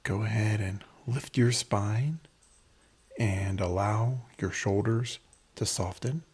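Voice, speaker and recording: A man leading a guided meditation in a measured, instructional manner, pausing between phrases, with a breathy, husky voice. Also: fairly low pitch, darkish tone.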